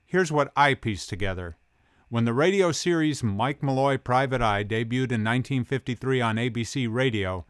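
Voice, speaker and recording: A man's voice: mid-range voice, clear, resonant tone, dry recording, studio quality. A middle-aged man hosting as a film expert, delivering scripted documentary narration with colloquial, hardboiled slang. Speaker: host, tough guy film expert.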